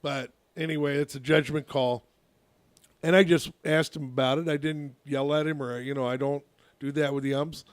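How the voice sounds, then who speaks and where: A man, a youth softball coach, telling a long story on a casual radio talk show, with a naturally loud voice and many restarts. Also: fairly high pitch, fairly even intonation, normal speed, dry recording.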